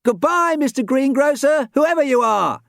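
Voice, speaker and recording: A man putting on a high, bouncy voice for an eager schoolboy footballer in a children's audiobook. He sounds excited and boastful, with a dry, close recording. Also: moderate pace, dry recording. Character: excitable young boy footballer, cheeky.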